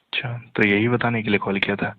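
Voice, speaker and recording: A man's voice: on the low side, flat delivery, a little fast, a little hiss or hum. A man talking casually and privately with someone on a phone call. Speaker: call recipient in a phone conversation. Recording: dry, narrow tinny phone line.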